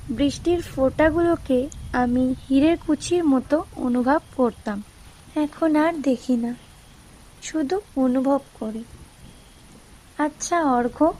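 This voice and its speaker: A woman playing a gentle, friendly blind teenage schoolgirl in an audio drama, with a soft, melodious, reassuring delivery. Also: high-pitched, a little hiss or hum, poor audio. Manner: tender, reassuring.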